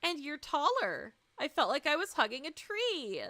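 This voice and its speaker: A woman voicing a cheerful, affectionate young student girl from a visual novel, playful and excited. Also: sits high, moderate pace, no room sound, voice pushed up, exaggerated voice. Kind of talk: visual novel character reading.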